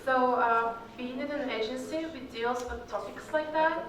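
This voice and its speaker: A woman's voice: high-pitched, measured pace, a crowd in the background. A young woman whose first language is Russian, answering student questions casually with many fillers. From Kyrgyzstan. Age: young adult. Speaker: alumni guest speaker. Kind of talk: Q&A talk to students. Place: large echoey hall. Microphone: far from the mic. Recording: roomy hall audio from far off.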